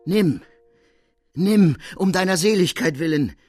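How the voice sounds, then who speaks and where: A man's voice: high voice, animated, a little slow, darkish tone, faint music behind, pitched above the performer's own voice. A man voicing a desperate, frantic intruder who pleads in panic, in a dramatic audiobook. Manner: frantic, imploring. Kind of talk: dramatic audiobook character reading.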